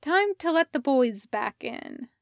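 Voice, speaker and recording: Slow-paced, higher-voiced woman reading a story. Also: big pitch swings, vocal fry, no room sound, studio quality. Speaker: podfic reader. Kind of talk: scripted narration of fan fiction with acted dialogue.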